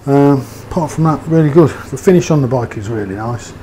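A man's voice: medium pitch, animated, a little fast, warm tone, a little hiss or hum. A man giving a casual, rambling motorbike review, with fillers and hesitations. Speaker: motovlogger.

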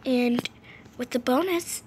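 High-voiced young woman over noisy phone audio. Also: takes it slowly, a little mellow. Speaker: host of a gaming channel. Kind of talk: improvised role-play gameplay narration. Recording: noisy amateur phone screen recording.

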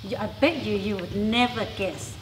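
A woman's voice: mid-range voice, moderate pace. A confident, chatty woman in her fifties from Thailand, with a Thai accent mixed with English and New York, talking casually to the camera in a large gym. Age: 50s. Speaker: interviewee. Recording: echoey gym with reverb and noise.

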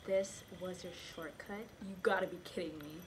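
A woman's voice: fairly low pitch, normal speed, noisy background, lightly acted. Curt, bossy woman playing a bank robber. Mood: exasperated. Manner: curt, scolding. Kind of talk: amateur radio drama acting.

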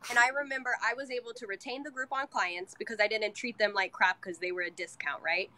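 A woman's voice: on the high side, steady tone, quick pace, bright, forceful tone, poor audio. Chatty young woman on a video call. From California. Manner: chatty, quotes herself and clients. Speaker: salon owner guest. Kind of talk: casual interview chat. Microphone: webcam on video call.

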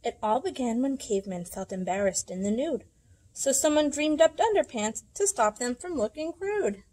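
A woman's voice: a little high, animated, normal speed, mellow, low-quality recording. A woman in her thirties reading a rhyming picture book aloud expressively, with a sing-song delivery. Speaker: children's library storytime reader. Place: indoors in a library room.

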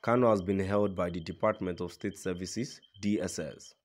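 Low-pitched man reading news. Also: fairly even intonation, normal speed, a little mellow. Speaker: news narrator. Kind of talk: scripted news narration.

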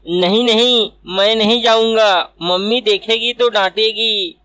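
A woman's voice: a little high, steady tone, measured pace, a bit bright. A woman voicing a timid young boy in a cartoon, whiny and anxious. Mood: frightened refusal. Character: timid young boy afraid of his mother. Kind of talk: cartoon dialogue.

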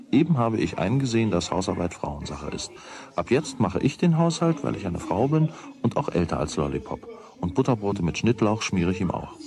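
A man narrating a children's radio play as a wry, deliberate storyteller with a husky voice. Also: fairly low pitch, darkish tone. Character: wry storyteller of a boy's everyday troubles.

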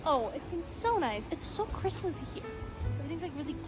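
A woman's voice: high-pitched, sing-song, quick pace, low-quality recording. A woman in her twenties chatting casually for a travel vlog, recorded on a handheld phone, mostly out on the street. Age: 20s. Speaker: vlogger. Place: mostly outdoors on city streets. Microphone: handheld phone at arm's length. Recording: loud music over handheld vlog audio.

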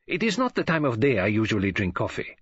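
A man voices a fastidious old detective who talks with formal, precise care. His speech is slow and mournful, scattered with French phrases. The voice is husky, and the recording sounds a bit dull. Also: mid-range voice, huge pitch swings, fairly bright tone, dry recording, exaggerated voice. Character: fastidious elderly male foreign detective.

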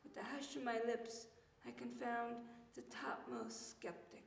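High-voiced woman reading poetry very slowly. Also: very animated. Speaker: poet reading aloud. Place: church meeting room with tiled floor, indoors. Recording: roomy, reverberant hall sound.

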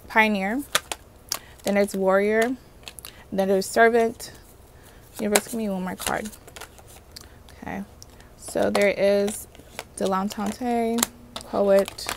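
A woman with a Haitian accent reads tarot in a casual, chatty way, checking in with her viewers again and again. Her voice has a gravelly edge at a middle pitch. Faint hiss sits under the recording. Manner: chatty, repeats "okay?" and "universe, clarify" while pulling cards. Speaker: tarot reader. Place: indoors at a table covered in card decks.

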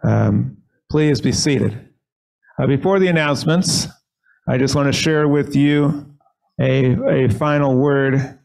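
A man serving as rabbi, leading a synagogue service and telling a short story in an earnest, measured way, speaking at a lectern microphone in a sanctuary. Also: medium pitch, creaky, a little mellow, rough recording.

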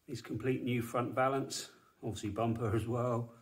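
A man's voice: medium pitch, steady tone, normal speed. Man, forties, from England, dry and matter-of-fact, talking over a handheld camera in a garage. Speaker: car restorer, channel host. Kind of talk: walkaround commentary while filming. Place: indoor garage workshop.

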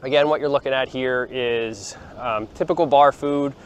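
A man's voice: medium pitch, moderate pace, slight background noise. Man walking and talking outdoors to a phone. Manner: chatty and relaxed, with frequent ums and restarts. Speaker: vlogger showing a beach town. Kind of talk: casual walking tour talk to camera. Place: outdoors, sunny beachfront plaza and beach. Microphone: phone held at arm's length, small clip-on mic.

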